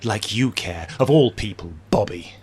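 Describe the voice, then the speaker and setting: A man's voice: lowish voice, normal speed, slightly rough. A man playing a bitter, prickly wounded superhero in an audio drama, curt, sullen and scornful. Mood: bitter, angry.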